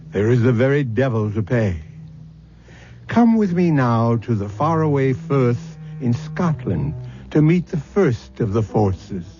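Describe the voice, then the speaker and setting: A man hosting a radio mystery series with theatrical, ominous scripted narration. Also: mid-range voice. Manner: ominous, deliberate storytelling. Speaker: radio drama host. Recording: muffled old radio broadcast. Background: clear dramatic music.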